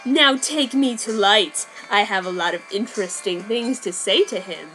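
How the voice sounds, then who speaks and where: A smug, menacing young schemer , read by a woman in a voice close to her own, delivers lines flat and low-key. The pitch stays in a middling range. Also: normal speed, soft background music, natural voice, poor audio. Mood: scheming.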